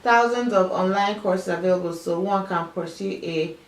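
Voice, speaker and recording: A woman co-hosting a casual panel chat, expressive and chatty with many fillers. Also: on the low side, moderate pace. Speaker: co-host. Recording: echoey room, faint hiss.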